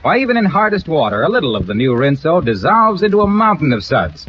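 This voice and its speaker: A man's voice: fairly high pitch, sing-song, quick pace, husky. A man announcing a 1940s radio commercial as a jovial, emphatic salesman. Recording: dull, hissy old radio recording.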